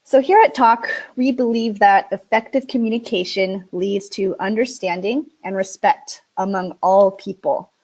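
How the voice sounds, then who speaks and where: Woman teacher doing an online english webinar, upbeat and clear, medium pitch. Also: normal speed, hardly any pauses, darkish tone, highs cut off. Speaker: ESL instructor. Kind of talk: webinar presentation.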